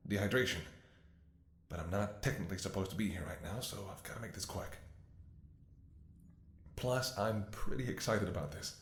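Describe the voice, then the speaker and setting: A man playing a devoted, desperate doctor caring for his infected lover in an emotional, halting ASMR roleplay, with an echoing sound. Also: on the low side, natural voice. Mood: brisk, professional, then eager, tender. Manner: halting, stammering, emotional delivery. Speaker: voice actor in an audio roleplay. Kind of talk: ASMR dramatic roleplay monologue.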